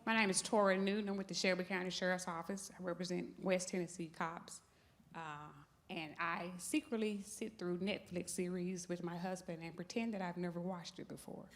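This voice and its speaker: A woman's voice: lowish voice, flat delivery, a little fast. A woman from the United States informally introducing herself to a group as a sheriff's office representative. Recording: distant mic, faint crowd and noise.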